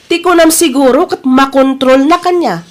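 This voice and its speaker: A woman's voice: high-pitched, normal speed, lightly acted. A woman with an Ilocano accent acting a resolute, self-respecting wife back from working abroad in a drama. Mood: hurt, firm.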